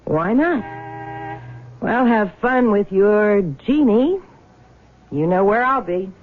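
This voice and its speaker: A woman in a 1970s radio drama playing a scornful, nagging small-town wife with a sharp, needling manner. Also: mid-range voice, animated, slow, phone-quality sound. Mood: mocking. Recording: band-limited vintage radio broadcast.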